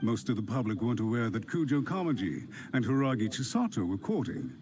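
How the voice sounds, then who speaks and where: A man playing a smug, scheming official in a game, his voice leaping up and down as he sneers and bristles. Mid-pitched and lively, with faint hiss underneath. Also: a little fast, natural voice. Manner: sneering, indignant. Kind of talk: game cutscene voice acting.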